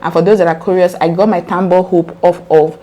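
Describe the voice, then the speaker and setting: Raspy-voiced woman teaching a craft. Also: lowish voice, steady tone, fairly quick, warm tone, slight background noise. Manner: chatty, earnest, addresses viewers directly. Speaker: sewing tutorial host. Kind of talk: casual step-by-step craft tutorial.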